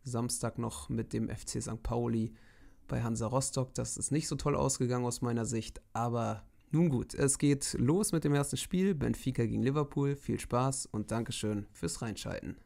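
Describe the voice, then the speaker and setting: Hoarse guy doing football recap commentary, bit of fry in his voice, lively. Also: medium pitch, normal speed. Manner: lively play-by-play summary. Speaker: host commentating simulated matches. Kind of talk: match summary commentary.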